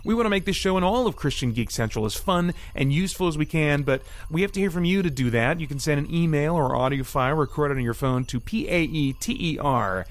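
A man's voice: on the high side, expressive, fast talker, hardly any pauses. A man hosting a podcast solo in a casual style, moving between scripted bits and rambling asides, often interrupting himself as he thinks aloud. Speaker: podcast host.

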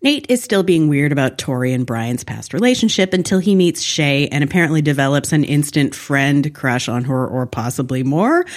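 A woman hosting a podcast, recapping a TV episode in a conversational, wry and amused way. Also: deep voice. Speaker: podcast host.

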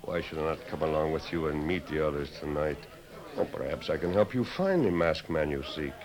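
An actor playing a sly, polite Mexican drifter with a Mexican accent and formal phrasing in a radio western. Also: male voice, rumbling low, animated, a little fast, a crowd in the background. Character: smooth-talking male Mexican drifter, a hero in disguise. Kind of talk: 1950s radio western acting. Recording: faintly noisy 1950s radio recording.

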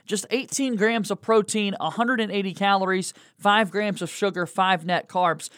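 A male sports podcast host with a noticeably high voice. He talks in a conversational presenter's style, and the sound is very dry with no room on it. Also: fairly even intonation, moderate pace, fairly bright tone, smooth, no room sound. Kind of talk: conversational interview and sponsor reads.

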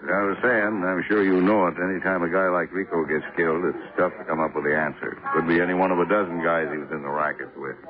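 A man's voice: low voice, steady tone, fast talker, hardly any pauses, a crowd in the background, natural voice. A man playing an easygoing, plain-spoken police lieutenant in a 1950s radio drama, talking in a casual, folksy way. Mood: conversational. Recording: narrow-band 1950s radio recording.

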